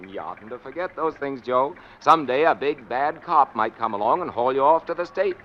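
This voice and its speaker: A man's voice: medium pitch, expressive, moderate pace, natural voice. Male actor: young American cop, friendly, folksy, joking, varied intonation. Fifties radio play, slightly muffled recording. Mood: breezy teasing. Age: young adult. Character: friendly, teasing young motorcycle policeman. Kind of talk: 1950s radio drama acting. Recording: dull vintage radio recording, faint noise.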